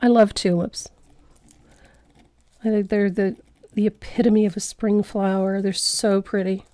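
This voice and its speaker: A woman's voice: mid-range voice, flat delivery, measured pace, mellow, no echo. Chatty, congested woman teaching painting. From Canada. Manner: chatty, rambling, self-deprecating, laughs often. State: congested from a cold. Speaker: painting instructor. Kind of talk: live painting tutorial, chatty.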